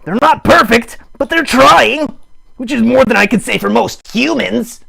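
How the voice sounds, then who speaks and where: A man voicing an excitable, earnest puppet in a raspy voice, making emphatic speeches in a live improvised puppet show. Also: very high voice, unhurried, exaggerated voice. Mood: excited, earnest. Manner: emphatic, exclamatory, mock-campaign speech. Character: excitable puppet character, earnest champion of dogs. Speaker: puppeteer host.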